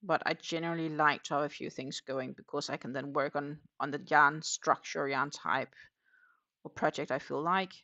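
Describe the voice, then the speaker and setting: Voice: medium pitch, steady tone, moderate pace, sharp tone, no echo, highs cut off. A crochet livestream host from Denmark with a Danish accent, chatting casually and answering viewer comments while working. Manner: thinks aloud, with many fillers and trailing sentences.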